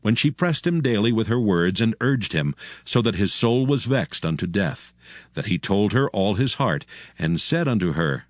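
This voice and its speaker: A man's voice: lowish voice, animated, moderate pace, dry recording, clean studio sound. A man narrating scripted scripture as the storyteller of a dramatized Bible audio production.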